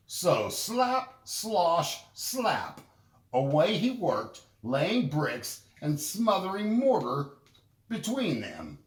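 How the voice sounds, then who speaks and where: A man narrating a children's story in an expressive, deliberate storytelling manner. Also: medium pitch, reverberant. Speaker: storybook reader.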